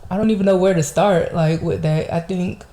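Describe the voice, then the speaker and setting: Casting: young Southern woman, low mellow voice, easygoing encouraging podcast host. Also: steady tone, a little fast. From Georgia, US. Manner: affirming, encouraging. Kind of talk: casual chat.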